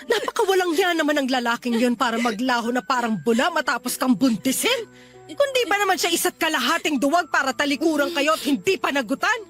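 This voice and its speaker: Woman playing middle-aged mother, highish voice, loving, protective, earnest, audio drama, music underneath. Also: expressive, a little fast, fairly bright tone. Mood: indignant. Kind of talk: sentimental Tagalog audio drama acting. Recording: music behind the voice.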